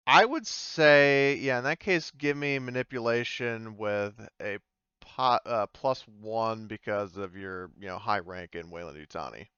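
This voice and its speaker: A man acting as game master, casually narrating a tabletop game and often pausing to think aloud. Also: on the low side, sharp tone, clean tone, no room sound, muffled recording.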